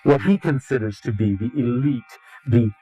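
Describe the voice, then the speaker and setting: A man giving interview testimony in a grave, deliberate, halting and portentous way. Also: mid-range voice, mellow, husky. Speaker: interviewee, claimed insider. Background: music.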